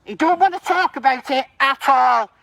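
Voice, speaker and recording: High-pitched woman protesting through a megaphone. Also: expressive, normal speed, gravelly, a bit bright, no room sound. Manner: confrontational, accusatory, addressing bystanders. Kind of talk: loud public protest speech in the street. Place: outdoors on a residential street.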